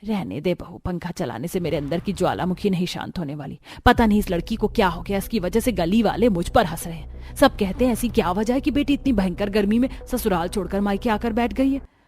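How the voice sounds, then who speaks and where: A woman's voice: mid-range voice, a little fast, a little mellow, lightly acted. Woman acting a weary, grumbling cartoon mother. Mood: troubled. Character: troubled, grumbling middle-aged mother, worried about gossip. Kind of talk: cartoon story dialogue.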